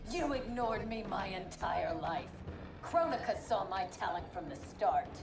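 An arrogant young female ice warrior from a video game, haughty and full of resentment. Her mid-range voice echoes, with loud music behind it. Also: mid-range voice, animated, a little slow. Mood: defiant, resentful. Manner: haughty, bitter. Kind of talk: video game cutscene acting.

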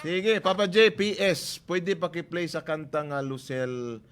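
A man hosting a radio show in casual banter, giving listener shout-outs. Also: on the high side, unhurried, smooth. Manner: lively, chatty. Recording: music bed under radio talk.

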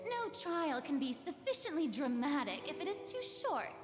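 A woman's voice: high voice, sing-song, normal speed, in a large hall, over music. An actress playing a haughty, theatrical noble lady in a game, speaking in a dramatic, formal, grandly teasing way. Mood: haughty, playful.

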